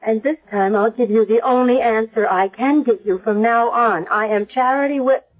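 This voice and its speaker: A young American woman unsure of her memory, played by an actress in a radio drama. Her voice is fairly high and her delivery guarded and halting. The sound is dull, like an old broadcast. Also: natural voice. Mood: guarded, uncertain.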